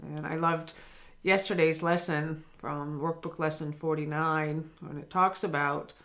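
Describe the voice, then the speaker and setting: A woman's voice: sits low, steady tone, unhurried, fairly bright tone. A woman giving a daily spiritual lesson, earnest and encouraging, speaking directly to the listener. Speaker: spiritual teacher.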